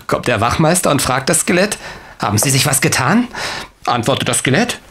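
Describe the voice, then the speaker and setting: A man's voice: mid-range voice, very animated, brisk, fairly bright tone. An actor playing a playful, joking young man among friends in a German audio drama.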